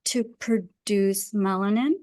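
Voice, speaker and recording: A woman's voice: mid-range voice, fairly even intonation, slow pace, a little mellow, no room sound. A woman speaking as a guest expert in an interview, explaining her ideas conversationally in an earnest, emphatic way. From Pakistan. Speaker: guest wellness and design expert.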